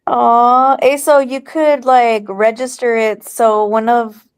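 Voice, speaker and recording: Slow, smooth-voiced woman co-host. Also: fairly high pitch, flat delivery, no echo, clean studio sound. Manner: warm, upbeat, unhurried. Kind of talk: casual chat.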